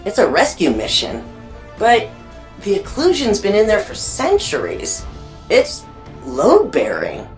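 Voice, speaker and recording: An eager, nerdy young woman who runs an archive in a video game. She talks informally with a wry streak, in a medium-pitched voice that swings freely. Light music sits behind her. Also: huge pitch swings, a little slow. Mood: puzzled. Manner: chatty, informal, wry, geeky. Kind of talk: video game character dialogue.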